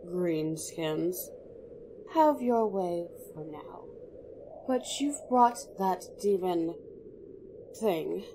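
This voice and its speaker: Actress as elven queen, haughty, disdainful, mid-pitched, very measured delivery, fantasy roleplay. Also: a little mellow, a little hiss or hum, rough recording. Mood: grudging, menacing. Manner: imperious, disdainful. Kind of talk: fantasy audio roleplay acting.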